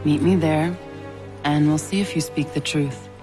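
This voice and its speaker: A woman's voice: low voice, steady tone. Zio, a guarded, defiant young woman. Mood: guarded, grave. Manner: blunt, deliberate, challenging. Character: guarded, defiant young Mohawk woman, blunt and self-assured. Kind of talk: dramatic video game acting. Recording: music behind the voice.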